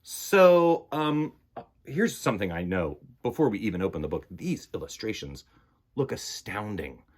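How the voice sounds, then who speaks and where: A man hosting storytime for children, playful and expressive. His voice is husky and swings widely in pitch. He takes his time with the reading. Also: measured pace. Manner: playful, expressive, dramatic shifts in volume. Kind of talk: animated picture-book read-aloud and casual chat.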